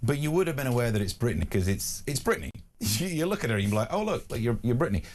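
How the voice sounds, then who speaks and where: A man's voice: medium pitch, big pitch swings, fast, a little mellow, slight background noise. A British man bantering as a talk show guest, jokey, rambling and self-mocking. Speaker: guest newspaper reviewer. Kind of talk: casual comic banter.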